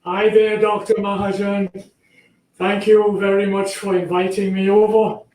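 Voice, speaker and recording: The courteous man in his eighties you would hear recounting his life from a bare, echoing room, mellow and unhurried. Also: high-pitched, flat delivery. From Trinidad. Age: 80s. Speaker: guest, recovered patient. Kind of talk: conversational interview answers.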